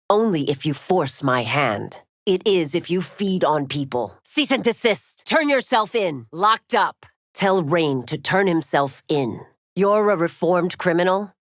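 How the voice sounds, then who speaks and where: A woman's voice: on the low side, dry recording, studio quality. An actress playing a stern, dutiful warrior woman and law officer in a fighting game, speaking in formal, commanding, clipped declarations. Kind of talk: fighting game character voice lines.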